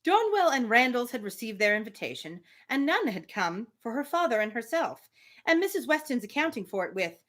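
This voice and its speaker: A woman hosting a live reading of a novel, reading aloud and breaking off for casual asides in an animated way. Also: on the high side, fairly quick. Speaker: host of live book readings.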